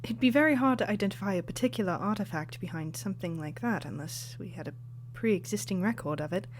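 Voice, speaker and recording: A woman's voice: medium pitch, lively intonation, a little hiss or hum, close to an everyday voice. A woman playing a lecturing artifact historian. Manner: measured, lecturing. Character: female artifact historian and author, fond teacher. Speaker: expert guest. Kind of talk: audio drama acting.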